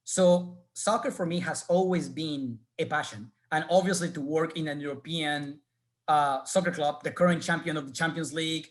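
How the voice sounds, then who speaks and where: Colombian-accented man telling his career story. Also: fairly high pitch. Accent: Colombian Spanish. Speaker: alumnus panelist. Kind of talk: webinar panel answer.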